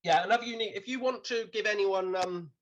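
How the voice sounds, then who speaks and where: A man running a tabletop game as game master, explaining rules in a relaxed way. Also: high-pitched, fast, dull audio.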